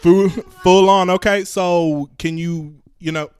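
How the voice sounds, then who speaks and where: A man hosting a casual podcast, telling stories and asking questions in an animated, conversational way. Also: high-pitched, takes it slowly, clean tone. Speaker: podcast host interviewer. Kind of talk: casual conversational storytelling and interviewing.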